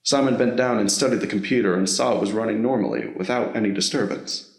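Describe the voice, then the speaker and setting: A man narrates a scripted story in a low voice. The recording is roomy, with clear echo around his words. He reads as the narrator of an audio drama. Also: animated, quick pace.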